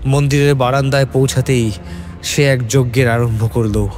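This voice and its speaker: Male narrator for scripted horror tale. Mid-pitched, dark mellow tone over soft music. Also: steady tone, normal speed. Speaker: story narrator. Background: background music.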